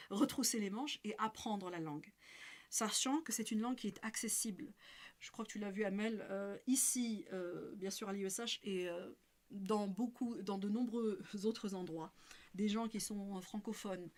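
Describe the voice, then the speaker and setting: Hesitant woman giving a spiritual talk. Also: medium pitch. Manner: hesitant, many fillers and restarts. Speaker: religious speaker. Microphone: live stream.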